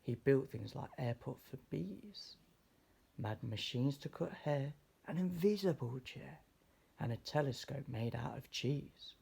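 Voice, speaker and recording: Slow, gravelly man reading a rhyming storybook. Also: medium pitch, expressive, dark tone. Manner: theatrical, measured storytelling. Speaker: storybook reader. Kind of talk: expressive read-aloud of rhyming children's story.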